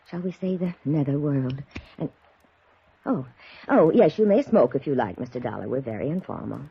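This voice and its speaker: A woman playing a genteel, intense middle-aged spirit medium in a 1950s radio drama, gracious, breathy and theatrical. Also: lowish voice, full character voice. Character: genteel, intense female spirit medium, about forty-five. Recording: dull old radio recording.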